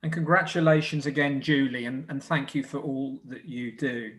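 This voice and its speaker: A man speaking as a group leader and host of a community event, in a measured, earnest way. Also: medium pitch, fairly even intonation, fairly bright tone. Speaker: scout group leader hosting a live presentation. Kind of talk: semi-scripted event presenting.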